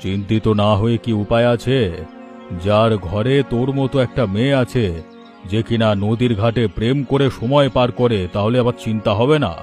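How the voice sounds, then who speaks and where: A man's voice: medium pitch, steady tone, moderate pace, a little mellow, soft background music, natural voice. A man doing a stern, grumbling village father. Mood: angry, worried. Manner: scornful, scolding. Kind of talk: cartoon dialogue.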